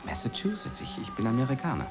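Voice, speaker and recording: An actor playing a polite, awkward American husband in a radio drama, stammering and hesitant but eager to please. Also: male voice, mid-range voice, very animated, fast, faint music behind, close to an everyday voice. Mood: uneasy, polite.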